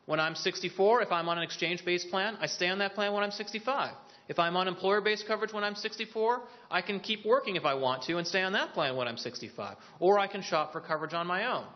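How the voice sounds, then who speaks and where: Man explaining policy, dull lo-fi sound. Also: high-pitched. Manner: explanatory, poses questions then answers them; occasional restarts and filler pauses. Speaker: policy speaker on a briefing panel. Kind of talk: policy presentation to an audience. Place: briefing room.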